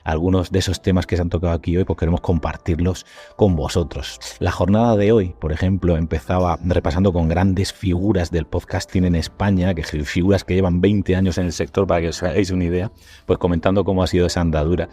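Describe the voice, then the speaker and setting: A podcast host, a man with an accent from Spain, speaks in a low, dark register with a rough, husky grain. He talks conversationally and at length, addressing his audience in a playful way. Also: steady tone, fast talker. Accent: Spanish from Spain. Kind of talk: podcast hosting, conversational interview.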